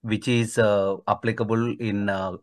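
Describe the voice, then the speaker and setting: A man calling in for advice, asking spontaneous questions in a tentative, halting way. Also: on the low side, little pitch movement, no echo. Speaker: caller seeking immigration advice.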